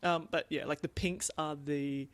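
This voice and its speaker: A man casually discussing a book as a podcast host, halting and repeating words, often checking in with "right?". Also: on the high side, steady tone, dry recording.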